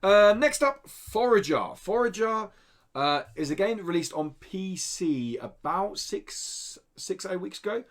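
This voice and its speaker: A man's voice: high-pitched, big pitch swings, unhurried. Casual man hosting a games podcast. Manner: explanatory, informal. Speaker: podcast host reading indie game news. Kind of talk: casual podcast news chat. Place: indoor studio.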